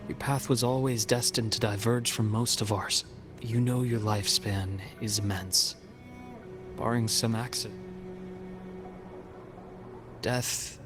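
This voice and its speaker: An actor playing a calm, steadfast male companion in a video game, speaking in a measured, earnest and reassuring way. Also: on the low side. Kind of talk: video game cutscene voice acting. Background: background music.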